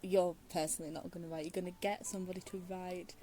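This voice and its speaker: A woman's voice: lowish voice, little pitch movement, quick pace, warm tone, faint music behind. A woman giving casual commentary as she plays through a game, rambling and hesitant, given to giggling. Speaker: gaming streamer.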